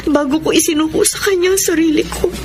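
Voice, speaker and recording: An actress playing a humble, remorseful woman in love in a Filipino radio melodrama, speaking haltingly and emotionally with a Filipino accent. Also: sits high, lightly acted. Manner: emotional, halting, pleading delivery. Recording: loud music under the voice.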